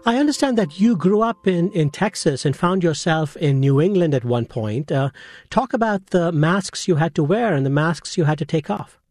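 A man hosting a radio show, asking questions and narrating scripted passages in a calm, polished manner. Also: fairly high pitch, dry recording, studio quality, faint music behind. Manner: calm, measured, polished delivery. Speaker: radio host.

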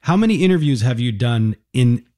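A radio host interviewing a young musician, speaking gently and encouragingly and explaining musical terms in plain words. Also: medium pitch, lively intonation, a little fast.

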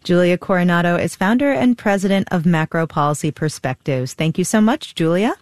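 A woman anchoring a radio business news show, reading the news and interviewing a guest live in a crisp newsreader manner. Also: on the low side, fairly quick, no room sound, clean studio sound. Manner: crisp, lively newsreader delivery. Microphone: studio microphone.

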